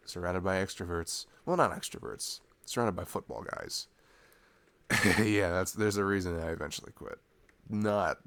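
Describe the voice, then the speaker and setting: A man acts out a sweet college-age boyfriend in an intimate ASMR roleplay. His voice sits very low with a gravelly creak. He rambles in a casual, halting way, introverted but openly affectionate. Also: fairly bright tone, full character voice. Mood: casual, amused. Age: young adult. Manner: rambling, halting, chuckling asides.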